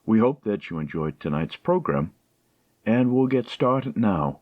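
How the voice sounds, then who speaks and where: A man hosting a radio program, reading a scripted introduction in a plain, deliberate way. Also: on the low side, lively intonation, dry recording. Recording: dull, dry, lo-fi sound.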